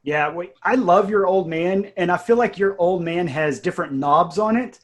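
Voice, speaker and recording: A man's voice: high-pitched, normal speed, a little hiss or hum. A man speaking casually and conversationally as the co-host and producer of an audio drama show. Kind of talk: casual behind-the-scenes chat.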